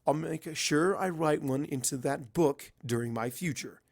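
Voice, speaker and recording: A man's voice: on the high side, measured pace, vocal fry, no room sound. A man voicing an arrogant, irritable male pony wizard with a blunt, bossy manner in a story reading. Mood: guarded.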